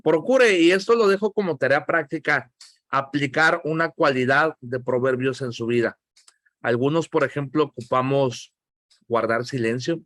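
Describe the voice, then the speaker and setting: A man's voice: mid-range voice, fairly bright tone, dry recording. A middle-aged man with a Mexican accent, a pastor teaching an online Bible class in a joking, emphatic, friendly scolding way.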